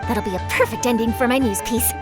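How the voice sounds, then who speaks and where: A woman's voice: fairly high pitch, quick pace, lightly acted. An actress voicing an eager young female news reporter who asks lots of questions, in a game cutscene. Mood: bubbly. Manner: bright, inquisitive questioning. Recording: game soundtrack music behind.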